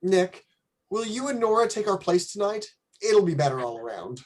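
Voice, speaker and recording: A woman's voice: medium pitch, big pitch swings, normal speed. A woman playing an anxious, hesitant wealthy young bridegroom in a radio play, speaking earnestly. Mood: uneasy.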